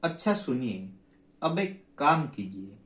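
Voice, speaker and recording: A man playing a long-winded helpline agent in a comic skit, formal and polite, with drawn-out pauses, in an echoing room. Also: mid-range voice, big pitch swings. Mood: patient, unhurried. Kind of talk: comic stage skit acting. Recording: roomy, echoing sound.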